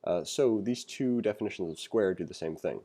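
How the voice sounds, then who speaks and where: A man's voice: sits low, animated, moderate pace, dark tone. A man giving a casual, conversational programming tutorial as instructor, reading along and adding opinionated, self-deprecating asides.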